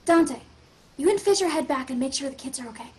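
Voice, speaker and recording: A woman acts out a young heroine with a high voice. She is bossy and wry, taking charge of her sci-fi adventure with sarcasm. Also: expressive, fast talker, a little mellow. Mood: brisk, wry. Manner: wry, assertive. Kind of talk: acted table read.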